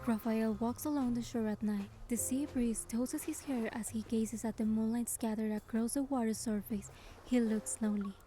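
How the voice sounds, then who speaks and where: Woman voicing a gentle young game heroine, highish mellow voice, soft storytelling, music behind her. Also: steady tone, moderate pace, close to an everyday voice. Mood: reflective. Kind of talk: scripted game narration and dialogue.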